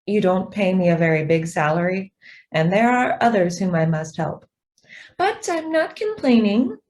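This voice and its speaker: Woman acting earnest young secretary, mellow voice. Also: mid-range voice, sing-song, normal speed, close to an everyday voice. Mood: earnest, confiding. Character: devoted, idealistic young female office secretary. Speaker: actor. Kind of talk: radio drama acting.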